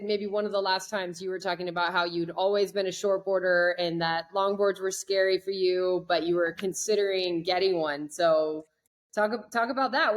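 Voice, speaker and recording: A woman hosting a casual interview, upbeat and encouraging, asking follow-up questions. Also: mid-range voice. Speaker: podcast host.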